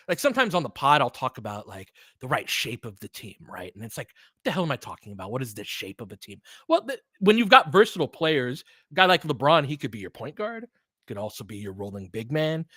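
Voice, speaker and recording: A man in his forties doing casual play-by-play of a basketball game, chatty and excitable, thinking aloud between plays. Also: medium pitch, no room sound, studio quality. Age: 40s. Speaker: play-by-play host. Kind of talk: casual fan play-by-play commentary.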